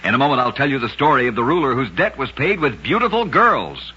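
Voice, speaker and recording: A male radio storyteller with a forceful, very raspy voice. He reads scripted oddities dramatically and deliberately, his pitch moving in lively swings. The recording is dull, like an old broadcast. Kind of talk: scripted narration of short oddity stories, vintage radio.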